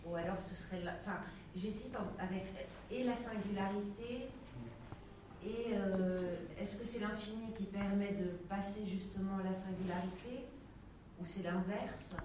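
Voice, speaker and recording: A woman's voice: mid-range voice, normal speed. A woman in the audience asking a lecturer a question, tentative and hesitant as she works through it. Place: lecture hall audience, away from the mic. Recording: distant, echoey hall with background noise.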